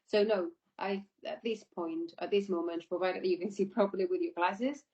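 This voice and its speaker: A woman's voice: lowish voice, steady tone, normal speed, warm tone. A woman giving informal, explanatory interview answers about her work, often repeating herself, over an online call. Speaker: air traffic controller being interviewed. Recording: dull lo-fi online call audio.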